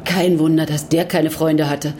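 An actress playing a suspicious, insistent wife who speaks reproachfully in a naturalistic radio drama. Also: female voice, low voice, little pitch movement, measured pace, mellow, slight background noise. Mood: uneasy, reproachful. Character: suspicious, probing wife uneasy about a dead man.

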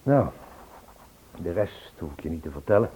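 A man's voice: low-pitched, sing-song, moderate pace, mellow, slight background noise. An actor playing an anxious, insistent man searching for a missing woman in a Dutch radio play. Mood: troubled recounting. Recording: husky, phone-like old radio play recording.

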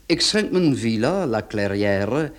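A fussy notary in a radio drama, middling in pitch. He is ceremonious and exact, reading legal matters out with pompous formality and shushing authority. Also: sing-song, takes it slowly. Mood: stern, ceremonious. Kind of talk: radio play acting.

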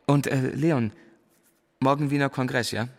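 Soothing male confidant: very gravelly, philosophical, measured phrasing, long hall reverb. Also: medium pitch, steady tone. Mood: gently solemn. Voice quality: gravelly, husky.

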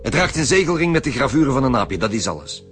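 A man's voice: mid-range voice, big pitch swings, quick pace, a bit bright, faint music behind. An actor in a radio drama playing a direct, no-nonsense male chief police inspector. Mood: formal, firm. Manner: direct, commanding, terse.

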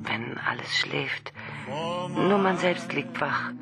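An actress playing the seductive, intimate voice of a mobile phone in a radio crime drama, speaking softly and coaxingly over music. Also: female voice, sits low, takes it slowly, creaky, exaggerated voice. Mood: melancholy.

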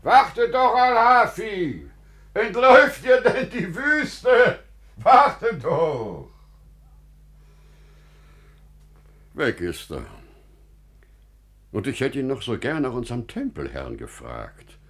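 An actor playing a wise, kindly, wry merchant in a classical radio drama, with measured, deliberate theatrical phrasing. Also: male voice, a little high, big pitch swings, a bit bright, heavily acted. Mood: concerned, urgent.

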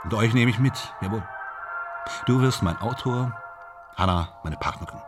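A man's voice: lowish voice, normal speed, music in the background, natural voice. A man playing a boastful, ambitious actor in a German radio drama, speaking in theatrical, self-dramatizing fragments. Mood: dreamy, boastful. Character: boastful, ambitious male stage actor dreaming of Hollywood stardom.

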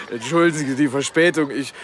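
Male actor, nervous helper, stammering, giggly, slightly high voice, crowd noise behind, radio satire. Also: normal speed, lightly acted. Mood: nervous, ingratiating. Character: nervous, ingratiating male campaign helper from eastern Germany.

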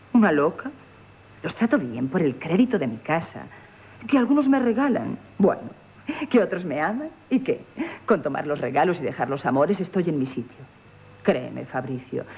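A woman playing a proud, flirtatious, independent innkeeper in a radio comedy, self-assured and brisk, with a Castilian Spanish accent. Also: sits low, very animated, a little mellow, breathy edge, lo-fi sound, heavily acted. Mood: coaxing. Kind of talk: theatrical radio comedy acting.

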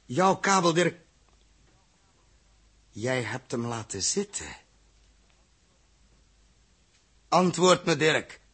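A man acting a commanding, fair-minded spaceship captain in a 1960s Dutch radio drama, with a husky voice. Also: a little high, lively intonation, slow pace, a bit bright, full character voice. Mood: urgent, stern.

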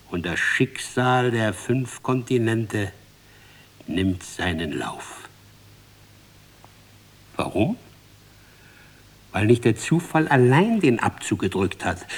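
An actor playing a solemn, impassioned storyteller in a radio play, declamatory and deliberate, repeating phrases for emphasis. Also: male voice, medium pitch, darkish tone, slightly rough, exaggerated voice. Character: solemn, impassioned male storyteller, a bard of fate. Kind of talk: dramatic scripted narration in a radio play.

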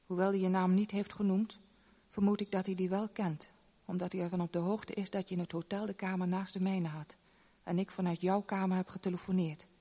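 An actress playing a proud, bitter young rich woman, speaking in a measured, cold, solemn way in a 1970s radio play. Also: on the low side, level tone, mellow, lightly acted. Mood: cold, restrained. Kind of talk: 1970s Dutch radio play letter monologue. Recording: long reverb on old radio drama.

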